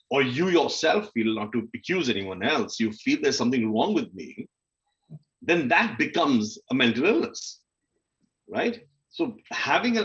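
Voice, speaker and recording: A man talks in an informal, candid way, as a guest on a panel would. His voice sits at a middling pitch and he slips into self-questioning dialogue as he explains himself. The audio is dull and lo-fi. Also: fairly bright tone. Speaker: guest speaker on a literary festival panel. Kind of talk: candid conversational panel talk about personal experience.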